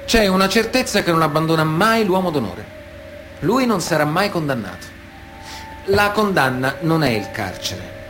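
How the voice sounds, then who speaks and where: A proud, evasive mafioso, speaking in a fairly high male voice. He weighs out his sentences like maxims, with deliberate pauses, in a theatrical radio monologue. Music runs clearly under the voice. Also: sing-song. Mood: proud, solemn. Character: proud, evasive Sicilian mafioso, a self-styled man of honour.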